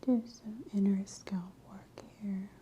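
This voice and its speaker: A woman doing soft-spoken, mostly whispered ASMR as a practitioner, breathy, gentle and soothing in an unhurried way. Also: mid-range voice, steady tone, dull audio, a little hiss or hum. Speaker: ASMR host performing a scalp exam roleplay.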